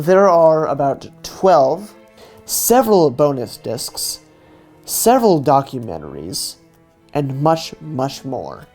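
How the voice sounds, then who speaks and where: A man's voice: mid-range voice, a little mellow. A man giving a scripted video review in an earnest, enthusiastic fan's delivery. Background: faint music.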